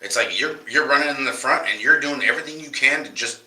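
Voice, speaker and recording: A man with a very bright, cutting voice chats loosely and profanely as a livestream co-host, sounding a little nasal from a cold. He is recorded in an echoey room and drifts off the mic now and then. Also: mid-range voice, quick pace, far from the mic. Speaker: podcast co-host. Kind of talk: casual livestream chat. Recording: roomy echo, off-mic at times.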